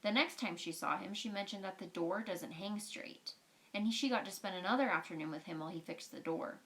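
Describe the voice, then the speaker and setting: A woman's voice: medium pitch, a little fast, a bit bright, poor audio. A woman reading a storybook aloud as a storyteller, explaining things in simple, informal words.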